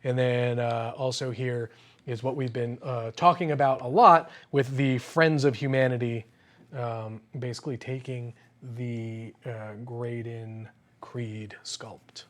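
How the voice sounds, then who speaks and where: A man's voice: medium pitch, fairly even intonation, slow pace. A man hosting an unboxing show in casual, slangy, enthusiastic chat full of exclamations.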